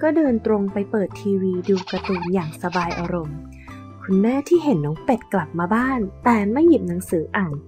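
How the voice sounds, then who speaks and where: Female storyteller for kids' cartoon: medium pitch, scripted narration, music clearly behind. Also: big pitch swings, a little fast. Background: background music.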